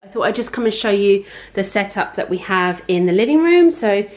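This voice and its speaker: A woman's voice: mid-range voice, animated, a little fast, faint music behind. A woman in her thirties talking casually and informally as she explains things like a home vlogger. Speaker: parenting vlogger. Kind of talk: casual chatty home tour.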